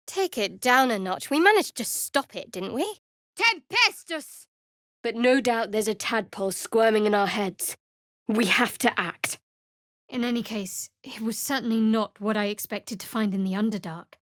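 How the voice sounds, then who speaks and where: A woman voicing a guarded, dry-witted young female cleric of a dark goddess in a fantasy video game, with a sardonic way of talking. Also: on the high side, dry recording, natural voice. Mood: forceful incantation, then serious, resolute.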